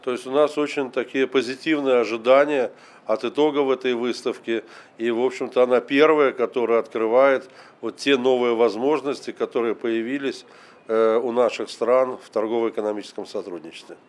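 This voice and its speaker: A man in his fifties, a delegation official, speaking deliberately and formally in a reception room interview. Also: mid-range voice, fairly even intonation.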